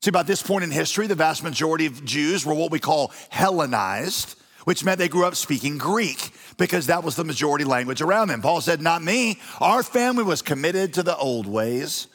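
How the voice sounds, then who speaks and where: A man preaching a sermon as pastor, animated and folksy with touches of humor. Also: on the high side, fairly quick, hardly any pauses. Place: large church auditorium. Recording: long reverb of a church hall.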